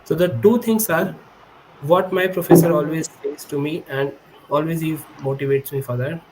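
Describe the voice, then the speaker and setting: A man's voice: a little high, fairly even intonation, normal speed, very noisy. Young man on a video call, native Hindi speaker. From India. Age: 20s. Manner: earnest, explanatory, frequent self-corrections. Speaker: guest, PhD student. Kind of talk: remote podcast interview. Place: indoor room with a high-backed chair.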